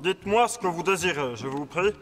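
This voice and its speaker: A man's voice: high-pitched, animated, a little fast, a bit bright. A man voicing a stern, weary king and father in a children's fairy-tale cartoon, formal and commanding. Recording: long reverb, faint music behind.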